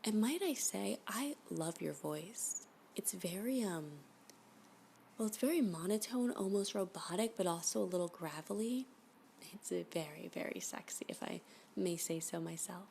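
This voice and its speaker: A woman playing a flirty, obsessive, unhinged admirer in an intimate ASMR roleplay, coy and rambling, often slipping into breathy half-whispers. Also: medium pitch, animated, normal speed, dark tone, a little hiss or hum. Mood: flattered, flirty. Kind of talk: ASMR roleplay, intimate one-sided dialogue.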